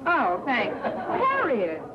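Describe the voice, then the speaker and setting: A woman playing a dry, sardonic middle-aged high-school teacher who wisecracks in a 1950s sitcom. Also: wildly swooping pitch, drawn-out words, highs cut off, a crowd in the background, exaggerated voice. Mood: wry. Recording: noisy vintage soundtrack, audience behind.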